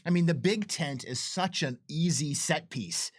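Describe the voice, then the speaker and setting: A man's voice: on the high side, a little slow, no room sound. Enthusiastic man in dry close sound. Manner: enthusiastic, eager, breaks off and restarts excitedly. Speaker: game developer giving commentary. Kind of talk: conversational design commentary.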